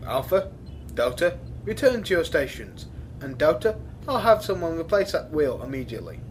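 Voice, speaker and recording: Guy as a decisive, courteous space captain, voice on the higher side, audio play, slight noise behind. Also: sing-song, normal speed. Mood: calm command. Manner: polite, commanding. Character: courteous, decisive male rabbit starship captain. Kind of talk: sci-fi audio drama acting. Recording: faint background noise.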